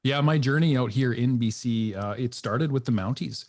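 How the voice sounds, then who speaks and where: A middle-aged man from northern Alberta, a retired police officer, talking calmly and reflectively as a podcast guest. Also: medium pitch, normal speed. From northern Alberta, Canada. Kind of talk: casual podcast chat.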